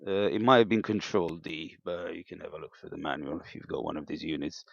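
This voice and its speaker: A man giving an unscripted hands-on equipment demonstration as a hobbyist, thinking aloud in a hesitant, halting way. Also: low voice, steady tone, vocal fry. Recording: dull, lo-fi, echoey room sound.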